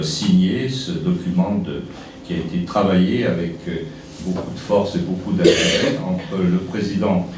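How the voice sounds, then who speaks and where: A man giving a formal, partly improvised speech as an official at a ceremony. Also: low voice. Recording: very echoey room, distant noisy mic.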